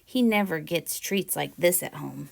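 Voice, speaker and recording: A woman reading a children's picture book aloud in a deliberate, expressive storytelling manner. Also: low-pitched, vocal fry, slight background noise. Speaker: read-aloud storyteller, teacher-like presenter.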